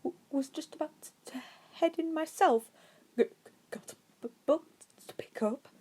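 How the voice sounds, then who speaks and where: A woman's voice: high voice, unhurried, dark tone, pitched above the performer's own voice. A woman voicing a pale, nervous male professor with a trembling stutter, in an audiobook story. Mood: nervous, stammering.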